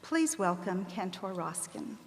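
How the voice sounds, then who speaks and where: Female event narrator, mid-pitched, measured and formal scripted read, long hall reverb. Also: sing-song, noisy background. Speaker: narrator and presenter at a commemorative event.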